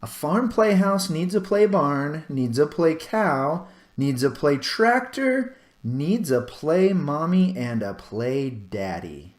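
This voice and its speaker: A man's voice: on the high side, sing-song, takes it slowly, roomy sound. A man reading a children's storybook, voicing a bossy, determined young farm girl with an insistent manner. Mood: demanding.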